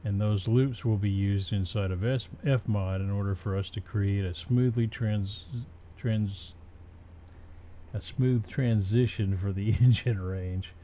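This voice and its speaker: A man with a lowish voice talks slowly through a casual software tutorial. He is halting and unscripted, thinking aloud as he works. The recording is dull and lo-fi, with faint noise behind him. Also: fairly even intonation. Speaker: tutorial presenter.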